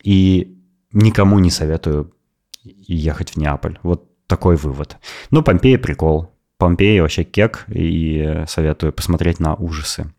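A man hosting a podcast, telling a travel story in a lively, opinionated way in casual chat. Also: deep voice, unhurried. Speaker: podcast host.

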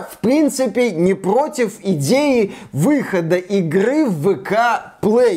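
Guy with a pretty high voice doing gaming news commentary, emphatic, kinda wry. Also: a little mellow. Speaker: host of a gaming news show.

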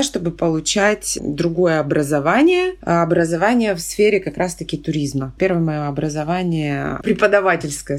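A woman giving a measured, reflective personal account in a podcast. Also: fairly low pitch. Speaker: interviewee.

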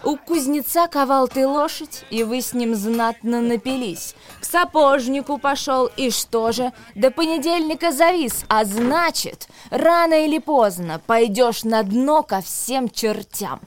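Scolding wife, higher-pitched voice. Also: female voice, unhurried, few pauses. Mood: scornful, scolding. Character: scolding wife berating her drunkard husband. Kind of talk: radio drama verse recitation.

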